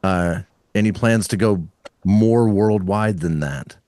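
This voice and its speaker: A man's voice: on the low side, slow pace, warm tone, dry recording. A man hosting a casual interview, asking rambling questions as an enthusiastic fan. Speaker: podcast host interviewing a band.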